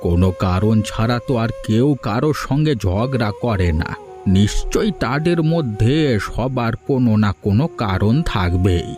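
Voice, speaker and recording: Guy voicing a considerate village boss type for a cartoon, measured advice-giving delivery, some music under it. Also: on the low side, expressive, darkish tone, natural voice. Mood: calm, reassuring. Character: village landowner man, sensible, paternal and considerate. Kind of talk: scripted cartoon dialogue. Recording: music behind the voice.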